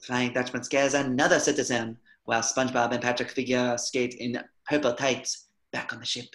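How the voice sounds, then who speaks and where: A man's voice: mid-range voice, little pitch movement, moderate pace, fairly bright tone. A man reading stage directions as scripted narration at a table reading.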